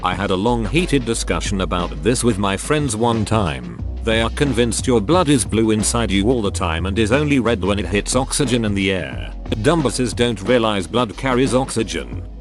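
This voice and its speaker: A man's voice: fairly low pitch, very animated, moderate pace. A man narrating forum posts in a wry, scripted reading. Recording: clear music bed underneath.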